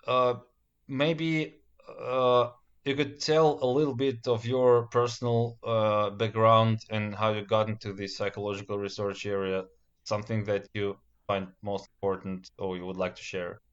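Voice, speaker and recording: A man's voice: lowish voice, little pitch movement, unhurried, clean tone. A man whose first language is Russian, politely hosting an interview with careful, hesitant phrasing. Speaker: podcast host. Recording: dull lo-fi recording.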